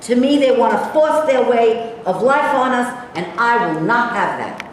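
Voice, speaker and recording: A woman's voice: on the high side, lively intonation, normal speed, lightly acted. A woman playing a brash, opinionated, combative man in an amateur staged play reading, blunt and needling in manner. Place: echoey room, staged reading. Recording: very echoey room, faint noise.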